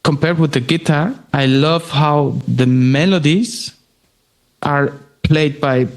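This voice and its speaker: Hesitant raised man, gravelly voice. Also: a little high. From Spain. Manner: halting, searching for words with frequent restarts. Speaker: guest musician. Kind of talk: conversational interview.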